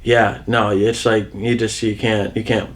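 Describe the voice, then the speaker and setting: A man's voice: fairly low pitch, level tone, measured pace. Laid-back young man chatting. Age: 20s. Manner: laid-back, easygoing, thinks aloud with fillers. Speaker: musician guest. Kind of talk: casual chat.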